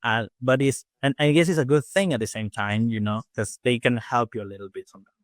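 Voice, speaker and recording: A man in his forties from Peru, speaking English with a Peruvian Spanish accent, his native tongue being Spanish. He talks quickly and loosely, full of restarts and "you know" fillers, at a middling pitch. The sound is close and dry, with no room around it. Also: dry recording. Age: 40s. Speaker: guest dentist and faculty. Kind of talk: casual interview chat.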